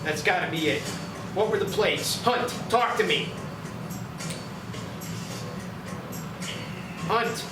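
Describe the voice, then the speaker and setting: A man's voice: high voice, expressive, moderate pace. A man playing a determined, salty sheriff at his wits' end in a screenplay reading. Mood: urgent. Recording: echoey, distant mic, music behind.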